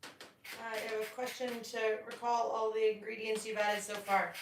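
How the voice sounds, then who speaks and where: Quiet woman off-mic in an echoey kitchen. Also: fairly high pitch, little pitch movement, normal speed, noisy background. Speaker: live chat helper reading out comments. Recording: distant in echoey kitchen, clatter.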